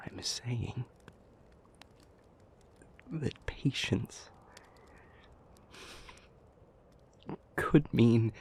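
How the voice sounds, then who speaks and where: Man acting a slow, whispery low-voiced demon. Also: creaky, a little mellow, full character voice. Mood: pained, pleading. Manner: slow, halting, earnest, with long pauses. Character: weary, gentle male demon, homesick and protective. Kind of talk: intimate scripted audio roleplay.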